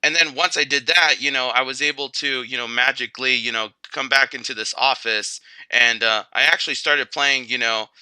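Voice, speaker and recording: A man's voice: mid-range voice, fairly even intonation, normal speed, hardly any pauses, bright, forceful tone, clean tone, no echo, poor audio. A man voicing a chatty teddy bear who used to be a grizzly, telling stories casually in a rambling, hesitant way full of filler words. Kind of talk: casual first-person storytelling.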